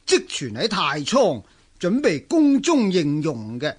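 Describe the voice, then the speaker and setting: Haughty complaining emperor, radio drama, high pitch, animated swings, slow pace. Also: male voice, husky, heavily acted. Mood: displeased. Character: petulant, imperious Chinese emperor. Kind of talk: Cantonese radio drama acting.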